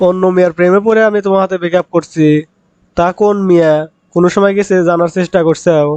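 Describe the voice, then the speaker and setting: Man voicing a clear, pleading cartoon job seeker. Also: sits high, fairly even intonation, quick pace, darkish tone, dry recording, natural voice. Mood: aggrieved. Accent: rural Bangladeshi. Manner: pleading, aggrieved, self-excusing. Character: pleading young male job seeker, boastful ex-boyfriend. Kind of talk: cartoon comedy dialogue.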